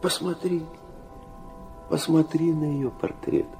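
An actor playing an anguished, idealistic middle-aged nobleman in a radio drama, confiding and philosophizing in a theatrical, halting manner. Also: fairly high pitch, fairly even intonation, gravelly, music in the background. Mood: confessional, tender.

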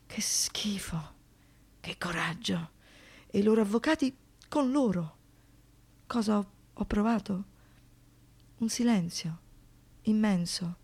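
An actress playing a wounded, defiant young survivor in an intimate radio monologue, confiding and halting, with dramatic pauses. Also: female voice, medium pitch, darkish tone, slightly rough. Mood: hushed, numb.

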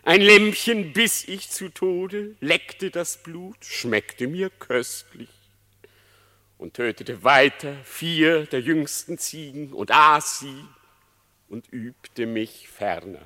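Man playing a high, ringing, declaiming fox. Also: lively intonation, slow pace, pushed and bright, heavily acted. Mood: confessing, sly. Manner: theatrical, solemn declaiming, mock-pious. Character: cunning condemned fox pleading publicly before a crowd. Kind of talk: dramatized literary verse reading. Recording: long hall-like reverb.

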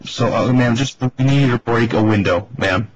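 A man playing an urgent, insistent hotel staffer on a prank phone call, halting and polite in address. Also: mid-range voice, close to an everyday voice. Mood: official, serious. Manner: halting, repeatedly addressing the listener as ma'am. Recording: dull, noisy telephone recording.

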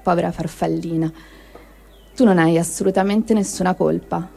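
A woman giving a measured, solemn dramatic reading of a written diary, with pauses between phrases. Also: lowish voice, steady tone, creaky, mellow, faint music behind. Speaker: reader of written testimonies.

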